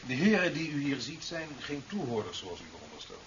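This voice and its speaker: A dull 1970s radio play recording with light hiss carries an authoritative president. He has a mid-pitched male voice and talks in a curt, cutting, formal way. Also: very animated, brisk, fairly bright tone. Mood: stern, impatient. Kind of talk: 1970s Dutch radio drama acting.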